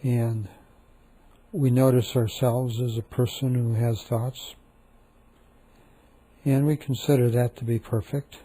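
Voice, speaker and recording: A man leading a guided meditation, speaking calmly and softly with long, repetitive pauses. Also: mid-range voice, breathy edge.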